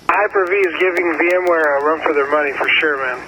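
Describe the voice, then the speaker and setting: Higher-voiced man on a noisy radio link. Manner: informal, halting, slangy with "dude" and "bro". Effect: two-way radio transmission. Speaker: ham radio operator. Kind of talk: casual technical chat over radio. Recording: noisy ham radio repeater audio.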